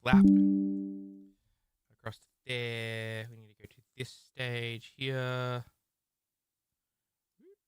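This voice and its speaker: A man's voice: mid-range voice, little pitch movement, drawn-out words, long pauses, smooth, no echo, faint music behind. A man hosting a casual game live stream, with a theatrical, showman-like way of talking.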